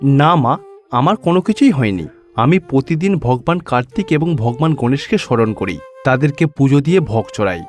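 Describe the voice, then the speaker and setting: A man's voice: medium pitch, animated, moderate pace, a little mellow, soft background music, natural voice. Man voicing an earnest, pious cartoon schoolboy. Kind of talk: cartoon story dialogue.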